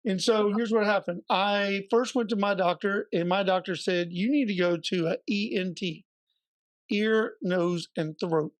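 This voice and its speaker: A man co-hosting a podcast, slightly hoarse and raspy, telling a story in a chatty way with joking asides. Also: high voice, measured pace, no echo. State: a little hoarse. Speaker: podcast co-host. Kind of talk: casual podcast chat.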